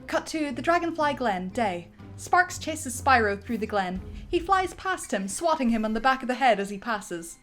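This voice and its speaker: Lively woman reading stage directions. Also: a little high, moderate pace, hardly any pauses, soft background music. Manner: lively, breaking into laughter. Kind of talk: dramatic script reading.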